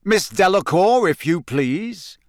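A male narrator performs a jovial middle-aged games official from a storybook. He is hearty and chummy, with a booming, theatrical delivery. The sound is dry, with very little room. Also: lively intonation, slow, dry recording. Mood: excited, booming. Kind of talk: acted audiobook dialogue.

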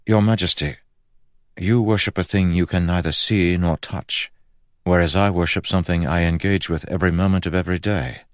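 A man voicing a calm, skeptical magician in an audiobook, with a dry, reasoned manner. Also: sits low, normal speed, no room sound, pitched above the performer's own voice, lightly acted. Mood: reasoning. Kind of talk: audiobook character acting.

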